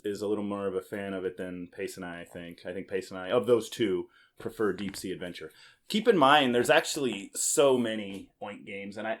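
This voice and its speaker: A man with a fairly low voice hosts a loose, unscripted review chat. He talks casually, teases a bit and keeps nudging the conversation back on track. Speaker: podcast host.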